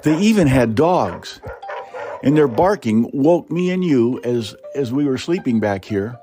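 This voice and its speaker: A man voicing a protective mother deer who speaks gravely to her young in a story reading. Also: medium pitch, lively intonation, measured pace, over music, lightly acted. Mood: urgent, tender.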